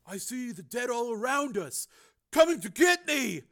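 Crazed, paranoid young brother, high-pitched. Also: male voice, big pitch swings, normal speed, heavily acted. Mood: frenzied terror. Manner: raving, paranoid, shouting in bursts. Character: crazed drugged younger brother, terrified of the dead. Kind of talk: audiobook character acting.

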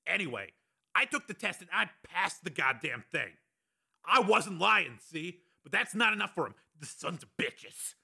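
A man voicing a big, hot-tempered working-class man in an audiobook, raspy and strained, blustering in coarse slang. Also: extremely high pitch, lively intonation, moderate pace, sharp tone, voice pushed up, exaggerated voice. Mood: aggrieved, bitter. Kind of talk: audiobook character acting.

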